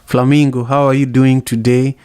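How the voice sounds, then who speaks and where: An effusive man hosting a live audio room, from Kenya, with a voice of middling pitch. He often repeats words as he hunts for the next thought. The sound is close and dry, with no room in it. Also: level tone, normal speed, a little mellow, smooth, dry recording. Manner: effusive, repeats himself while searching for words. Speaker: host. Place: home setup, live audio app.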